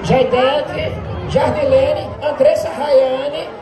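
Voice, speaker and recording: A man joking and shouting as the comic announcer of a chicken race at an outdoor street festival. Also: very high voice, steady tone, very slow. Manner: shouting, joking, mock-sports-caster patter. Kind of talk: joking live announcing over a crowd. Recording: echoey outdoor street event with loud music and crowd.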